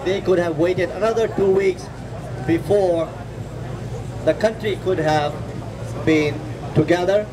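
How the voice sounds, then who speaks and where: A middle-aged man with a Nepali accent giving an earnest political speech, emphatic and deliberate, repeating words for stress. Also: sits high, a crowd in the background, poor audio. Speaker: activist speaker at a rally. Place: outdoor city plaza at night. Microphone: podium microphone. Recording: outdoor street noise with passing traffic. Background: street traffic and urban ambience.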